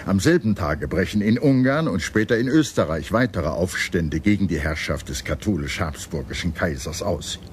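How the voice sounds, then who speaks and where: A man reading scripted historical documentary narration in a measured, declarative storytelling manner. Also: low-pitched, animated. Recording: clear background noise and ambience.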